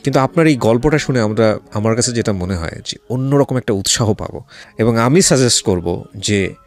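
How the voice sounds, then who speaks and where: A man's voice: mid-range voice, moderate pace. A man with a Bangladeshi accent hosting a radio interview, respectful and effusive, repeating phrases for emphasis. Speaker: radio show host, interviewer. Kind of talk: radio interview hosting, addressing guest and listeners. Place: radio studio. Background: music behind the voice.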